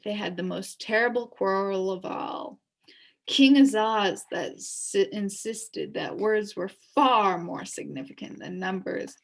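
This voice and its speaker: A woman reading a children's story aloud as an expressive narrator. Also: medium pitch, rough recording. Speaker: storybook reader.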